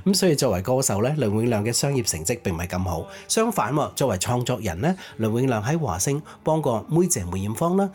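A man's voice: mid-range voice, sing-song, fast talker, few pauses, darkish tone. A man co-hosting a radio music show, giving conversational commentary on pop music history.

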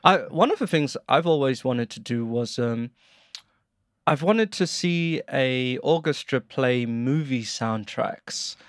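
A man hosts a casual podcast in a mid-pitched voice. He chats in an easygoing way and often laughs lightly. The sound is dry and close. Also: expressive, takes it slowly, dry recording. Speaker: podcast host.

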